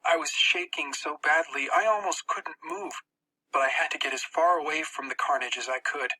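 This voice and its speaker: Very bright, forceful dramatic audiobook narrator. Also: medium pitch, normal speed, rough recording. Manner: tense, expressive storytelling. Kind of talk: dramatic first-person scripted narration.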